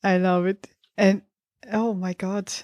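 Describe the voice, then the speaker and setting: A woman's voice: medium pitch, little pitch movement, slow, a little mellow. A woman chatting casually as a podcast host, repeating short phrases for emphasis. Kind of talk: casual chat.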